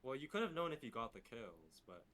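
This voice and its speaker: A man's voice: medium pitch, very animated, a little fast, a crowd in the background. A man chatting casually as a player in a game, defending himself to the group. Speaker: player in a social deduction game. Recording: faint noise on voice chat.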